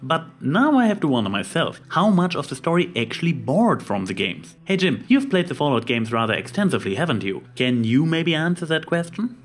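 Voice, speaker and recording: A man's voice: a little high, very animated, brisk, hardly any pauses. A man hosting a review, reading scripted commentary. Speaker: host, reviewer.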